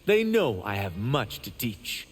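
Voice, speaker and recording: A man's voice: lowish voice, huge pitch swings, slow pace, full character voice. An actor playing a cocky, boastful young male martial arts fighter in video game dialogue. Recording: reverberant hall, background ambience noise.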